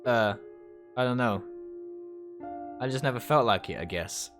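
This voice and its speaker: A man's voice: medium pitch, unhurried, over music, lightly acted. A man voicing a troubled, guarded young amnesiac in a visual novel, speaking plainly and hesitantly. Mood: resigned, hesitant.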